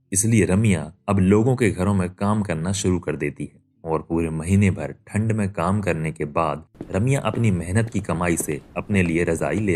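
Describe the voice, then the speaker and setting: Deep-voiced male story narrator. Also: darkish tone. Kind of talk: scripted cartoon story narration.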